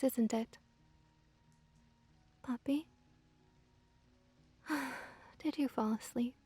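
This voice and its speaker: Female performer as a kitsune witch for ASMR roleplay: gently dominant, possessive, whispery, mellow and unhurried. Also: a little high, no room sound, exaggerated voice. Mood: teasing, sleepy. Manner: soft, breathy, whispery, intimate coaxing.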